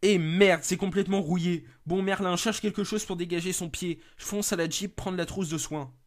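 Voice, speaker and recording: A man voicing an agitated forest rescuer in an amateur horror story, brisk, blunt and colloquial. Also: sits high, steady tone, voice pushed up, natural voice. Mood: alarmed, angry.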